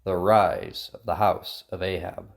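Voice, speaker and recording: Male narrator: lowish voice, scripted Bible storytelling, plucked guitar music clearly underneath. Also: a little slow.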